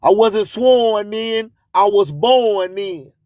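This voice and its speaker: A man's voice: high-pitched, slow, a little mellow, no echo. A man hosting a radio show, delivering a preachy, emphatic spoken-word motivational monologue full of repeated catchphrases. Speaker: radio host.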